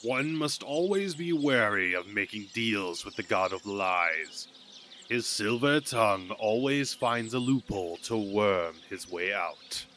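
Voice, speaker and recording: A man's voice: fairly low pitch, expressive, a little slow, a bit bright. A man narrating a scripted story in a dramatic storytelling style. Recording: amateur recording with background noise.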